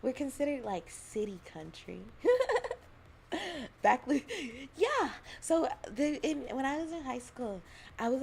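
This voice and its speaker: A woman's voice: fairly high pitch, creaky, slight background noise. A young adult woman from Alabama chatting casually on a livestream, giggling often and rambling between thoughts. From Alabama, US South. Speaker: streamer.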